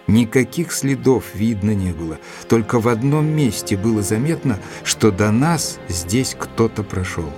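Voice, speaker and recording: An actor playing a sober male doctor who narrates a detective story in measured, literary phrasing for an audio drama. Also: lowish voice, expressive. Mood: measured, grave. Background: music.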